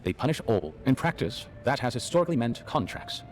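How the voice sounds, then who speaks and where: An actor playing a learned, earnest archivist who explains lore precisely in a fantasy video game. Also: male voice, medium pitch, big pitch swings, a little fast, a little hiss or hum, lightly acted. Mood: wry, informative. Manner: bookish, precise. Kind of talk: video game dialogue, expository.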